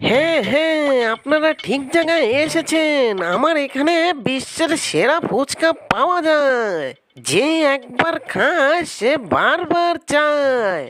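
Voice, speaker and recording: A woman's voice: high-pitched, expressive, unhurried, a bit bright, slight background noise. A woman voicing a sly, boastful fox street-food seller in a children's cartoon, with wheedling salesman patter. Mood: boastful, coaxing. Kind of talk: children's cartoon character acting.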